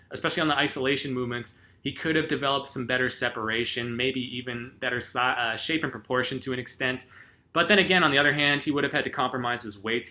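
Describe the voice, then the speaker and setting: A man's voice: medium pitch, pushed and bright. A man answering viewer questions in a casual vlog, rambling with lots of ums and uhs, laid-back and self-deprecating.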